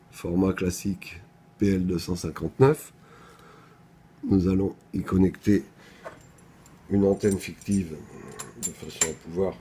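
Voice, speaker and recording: Guy w deep soft-toned voice doing a step by step gear demo, bit of background noise. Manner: methodical, explanatory, step by step. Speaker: product presenter. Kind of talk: unscripted technical product demonstration.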